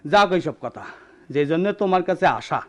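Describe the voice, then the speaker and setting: Radio drama neighbour male actor: easygoing matchmaker, high male voice, rural Bangladeshi accent, affable. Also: animated, brisk, close to an everyday voice. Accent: rural Bangladeshi dialect. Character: friendly older village neighbour acting as matchmaker. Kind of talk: Bengali radio drama acting.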